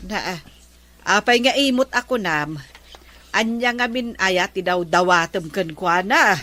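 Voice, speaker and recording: Woman playing a gossipy Filipino villager, unhurried pace, bright forceful tone, comedy drama. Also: medium pitch. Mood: commiserating. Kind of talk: comedy radio drama acting.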